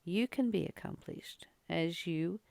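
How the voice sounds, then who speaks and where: A woman's voice: fairly low pitch, a little slow, gravelly, a little mellow, no echo. A woman reading a spiritual text and commenting on it as a teacher, earnest and exhorting, repeating phrases for emphasis.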